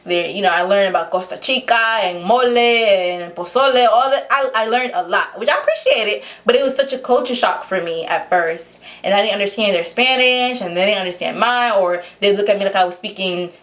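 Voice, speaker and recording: A woman's voice: medium pitch, a little fast, hardly any pauses, fairly bright tone. A young woman with a New York accent, telling her life story to camera in a chatty way, full of fillers and restarts. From Honduras. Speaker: vlogger.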